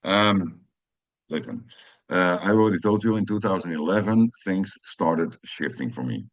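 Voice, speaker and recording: Low-voiced Dutch man musing. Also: moderate pace, no room sound. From Netherlands. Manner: hesitant, many fillers and restarts. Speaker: podcast host. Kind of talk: reflective personal monologue.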